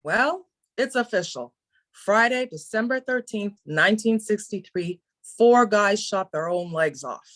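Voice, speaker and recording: A woman's voice: mid-range voice, animated, a little slow, fairly bright tone. Woman reading a dry, confident ad executive. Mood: wry. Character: wry, confident male advertising executive. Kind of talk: table read of a TV drama script.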